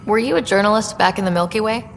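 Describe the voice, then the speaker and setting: An actress playing a composed, friendly female space explorer and leader in a video game, calm, direct and inquisitive. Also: medium pitch, fast talker, soft background music, natural voice. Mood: curious. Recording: reverberant hall with faint noise.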